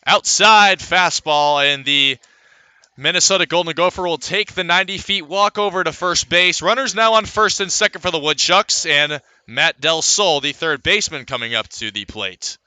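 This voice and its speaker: A male baseball radio announcer with a very bright, forceful, slightly high voice. He calls the game play by play, filling in with stats, and the sound is close and dry. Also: moderate pace, smooth, dry recording. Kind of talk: live radio sports commentary.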